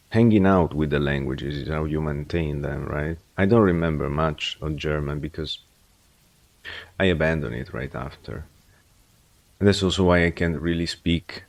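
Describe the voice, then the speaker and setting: Male streamer with a low voice, dry close audio. Unhurried and reflective, rambles through advice. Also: vocal fry, no echo. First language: English. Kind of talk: casual livestream chat.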